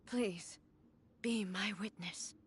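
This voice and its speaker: An actress playing a regal, resolute immortal warrior goddess in a video game, speaking in a formal, grave, stately way. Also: female voice, medium pitch, slow, slight background noise, natural voice. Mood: solemn, resolute.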